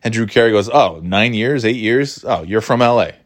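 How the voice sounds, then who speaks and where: Solo podcast host, middle-aged man, lowish voice, rambling and wry, off-the-cuff. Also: normal speed. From New York. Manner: rambling, self-interrupting, wry. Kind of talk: casual solo podcast monologue.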